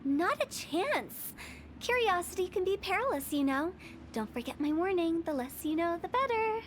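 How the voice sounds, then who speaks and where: A woman's voice: very high voice, big pitch swings, moderate pace, slight background noise. A woman voicing a quirky, cryptic teenage girl funeral director in a video game, with a theatrical, articulate delivery. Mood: playful, teasing. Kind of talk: video game voice acting, storytelling. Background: game music.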